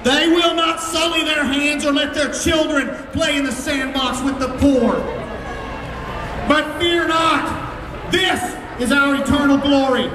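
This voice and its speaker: An American man delivers impassioned political oratory as a school superintendent at an outdoor rally, declaiming emphatically and projecting loudly with dramatic phrasing. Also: very high-pitched, slow pace. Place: outdoors on capitol steps before a large crowd. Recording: echoey outdoor PA, distant mic, noisy crowd. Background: loud crowd and ambient noise.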